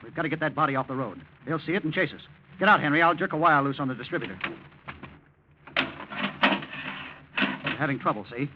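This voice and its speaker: A man's voice: fairly high pitch, expressive, fairly quick, long pauses, lightly acted. Through a dull, hissy old broadcast comes an actor as a cool-headed man in a vintage radio thriller. He speaks in clipped, slangy phrases and takes command like someone used to a crisis. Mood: urgent, commanding. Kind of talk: 1940s radio drama acting. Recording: dull, noisy old-time radio recording.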